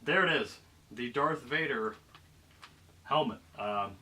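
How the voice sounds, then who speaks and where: A man's voice: medium pitch, slow pace, bright, forceful tone. A man chatting casually and off the cuff as he hosts a product unboxing, a little hesitant. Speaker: YouTube product reviewer and host. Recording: clear background noise, clicks.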